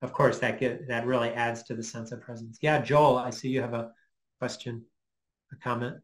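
A man in his fifties explaining informally as a lecturer on a video call from a small home office, halting and thinking aloud. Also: medium pitch, flat delivery. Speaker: guest lecturer. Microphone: computer mic on a video call.

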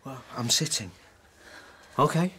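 An actor in a radio drama playing an evasive, restless husband pleading his case, with a husky voice. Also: mid-range voice, animated, unhurried, bright, forceful tone, a little hiss or hum. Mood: wary.

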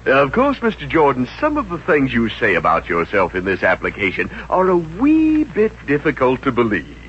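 A man's voice: mid-range voice, wildly swooping pitch, normal speed, a bit bright. A man playing a fussy, drily skeptical personnel manager in a 1950s radio comedy, polite but sarcastic. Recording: dull, noisy 1950s radio recording.

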